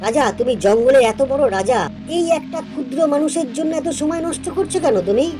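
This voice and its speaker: A woman voicing a clever, friendly male goat with a coaxing way of talking in an animated folk tale for children. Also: sits high, quick pace, music in the background. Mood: coaxing, sly. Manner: coaxing, pleading.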